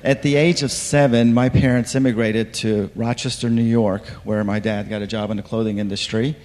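A man's voice: on the low side, level tone, normal speed, a little mellow. A man in his fifties, born in Turkey, introducing himself on a church panel in a good-humored way. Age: 50s. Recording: hall reverb.

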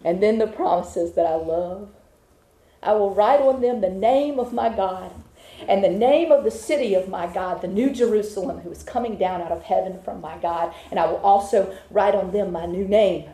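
A woman's voice: mid-range voice, moderate pace, dark tone. A woman preaching a sermon to a congregation, building her points with rhythmic repetition. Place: church with a congregation. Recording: echoey room.

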